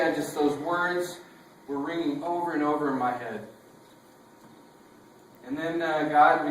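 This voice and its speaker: A man's voice: fairly high pitch, a little hiss or hum. A man with a Texan accent, a visiting missionary preacher who tells stories casually with lots of fillers and self-deprecating jokes. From Texas. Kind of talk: sermon with a sung opening. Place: church sanctuary. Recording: echoey church room from far off.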